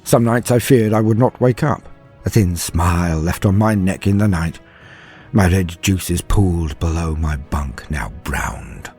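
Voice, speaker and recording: A man playing a frightened, haunted far-future soldier, telling his horror story in a dramatic first-person monologue with brooding pauses and shifts from hushed to emphatic. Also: deep voice, lively intonation, soft background music. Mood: brooding dread. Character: frightened, haunted far-future soldier, former factory worker, recalling terrors.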